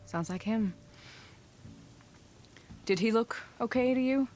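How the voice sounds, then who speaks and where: A woman's voice: fairly high pitch, slow pace, darkish tone. An actress playing a self-assured female warrior and loyal friend in a video game. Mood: concerned. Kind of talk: video game character acting.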